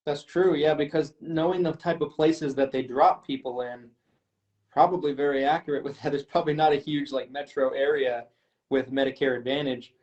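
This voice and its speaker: A man's voice: fairly high pitch, fairly even intonation, normal speed, a little mellow. A man in casual chat, thinking aloud with ums and a self-deprecating manner. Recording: slightly dull lo-fi audio.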